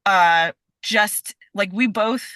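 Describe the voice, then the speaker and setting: Bright-voiced, chatty, enthusiastic woman. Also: mid-range voice, takes it slowly, dry recording. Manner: chatty, enthusiastic, heavy use of "like". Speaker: podcast guest, stage performer and voice actor. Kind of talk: casual interview chat.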